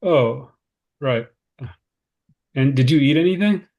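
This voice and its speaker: A man's voice: mid-range voice, slow, creaky, highs cut off. A man acting the part of a harried, considerate cousin, earnest and slightly fretful, in a screenplay read-through. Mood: curious, concerned. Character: David, harried, anxious, considerate male cousin. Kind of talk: informal screenplay table read, naturalistic dialogue.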